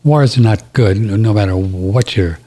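A man's voice: lowish voice, unhurried, dark tone. A man hosting a live talk radio show, rambling casually with many fillers.

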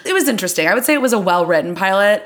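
A woman's voice: mid-range voice, animated, racing, a bit bright. Woman chatting casually, reviewing television. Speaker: podcast co-host.

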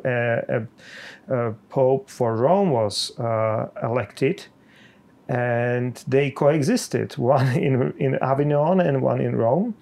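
A middle-aged man from the Czech Republic with a Czech accent, a pastor speaking slowly and haltingly with many pauses in a podcast conversation. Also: medium pitch, creaky, a little mellow.

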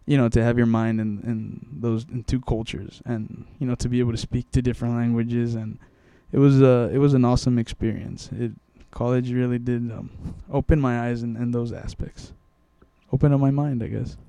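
A man's voice: medium pitch, flat delivery, moderate pace, gravelly, dark tone, smooth, dry recording. A young man from Mexico, telling his story in a casual interview, rambling with many restarts and fillers. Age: young adult.

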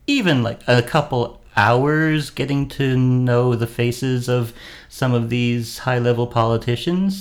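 A man, an actor guest-hosting a podcast, chatting casually and telling wry self-deprecating stories. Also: medium pitch. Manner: tells wry anecdotes with self-deprecating humor. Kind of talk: casual chat.

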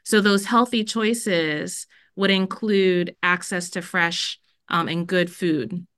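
A woman's voice: lowish voice, steady tone, takes it slowly, fairly bright tone, dry recording, clean studio sound. A middle-aged woman from Jamaica, a school dean, speaking earnestly in a podcast interview with many fillers.

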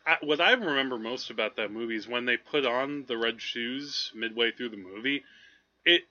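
A man co-hosting a movie podcast, talking casually in a halting, digressive, amused way. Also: mid-range voice, sharp tone, no echo. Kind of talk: casual film review chat. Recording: slightly dull, lo-fi podcast audio.

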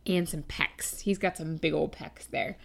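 A woman's voice: sits low, fairly even intonation, measured pace, gravelly, in a whisper. A woman in her twenties co-hosting a podcast while she has a cold, chatting casually and teasing dryly. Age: 20s. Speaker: podcast co-host. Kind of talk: casual chat.

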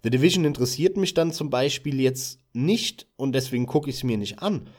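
A German-speaking man co-hosting a podcast, chatting about gaming websites in a relaxed, casual way with a few filler sounds. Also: medium pitch, moderate pace. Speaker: podcast co-host.

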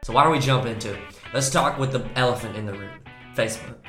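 Man, hoarse, raspy, upbeat podcast host, roomy echo. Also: medium pitch, fast, a bit bright, faint music behind. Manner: upbeat, talkative, joking. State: hoarse from a cold. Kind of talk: casual podcast chat. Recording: echoey room.

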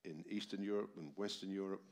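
Deep-voiced older preacher. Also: male voice, steady tone, fairly quick. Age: 70s. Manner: emphatic, with rhetorical questions to the congregation. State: tired voice from a heavy cold. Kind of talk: sermon. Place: church hall with a congregation. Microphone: amplified microphone.

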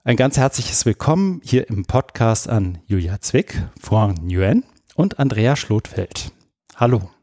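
A man's voice: fairly low pitch, sing-song, unhurried, warm tone, no room sound, studio quality. A man hosting an interview podcast, welcoming guests and guiding the introductions in a friendly, structured way. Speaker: podcast host.

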